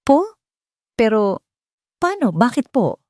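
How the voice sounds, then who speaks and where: A woman acts out a timid young Filipina in an audio drama. She is humble, polite and hesitant, her voice lifted higher, in a dry recording. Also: sing-song, slow pace, mellow, no room sound. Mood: astonished, unsure. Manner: hesitant, deferential.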